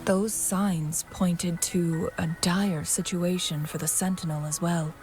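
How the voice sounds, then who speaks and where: An actress playing a composed, mysterious young woman strategist in a fantasy video game, speaking softly in measured, formal phrases. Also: on the low side, fairly even intonation. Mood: grave, composed. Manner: measured, unhurried, formal, soft-spoken. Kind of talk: video game voice acting, storytelling dialogue. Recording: music and rain behind the voice. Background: game music, rain.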